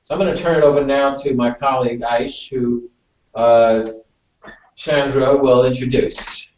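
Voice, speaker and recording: A man giving a measured, semi-formal advisory talk to students in a deliberate explaining manner. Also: mid-range voice, steady tone, darkish tone. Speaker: organization speaker hosting a student conference call. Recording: distant, echoey, muffled conference call.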